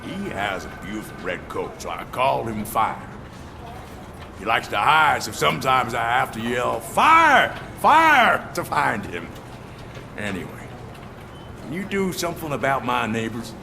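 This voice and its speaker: A man's voice: medium pitch, very animated, measured pace, creaky, sharp tone, slight background noise, heavily acted. An actor playing an oblivious, eccentric male citizen in a video game, earnest and chatty in his complaints. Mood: shouting.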